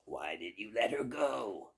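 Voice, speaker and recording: A man's voice: lowish voice, very animated, a little slow, vocal fry, breathy edge, exaggerated voice. A man voicing a furious old witch from a storybook, screaming accusingly at her servants.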